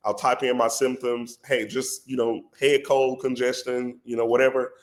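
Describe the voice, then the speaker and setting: A man with a head cold and a congested voice, a company president talking as a podcast guest in an animated, persuasive way. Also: mid-range voice, normal speed. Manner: animated and persuasive, says "bro" a lot. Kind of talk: casual podcast conversation.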